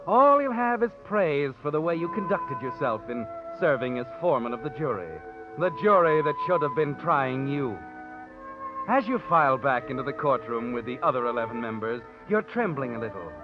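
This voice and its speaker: An actor as sinister, taunting mystery narrator. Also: male voice, mid-range voice, big pitch swings, moderate pace, close to an everyday voice. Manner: insinuating, taunting, dramatic. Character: sinister, knowing male narrator taunting the guilty. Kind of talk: 1940s radio suspense narration, second person. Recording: muffled old radio broadcast with music.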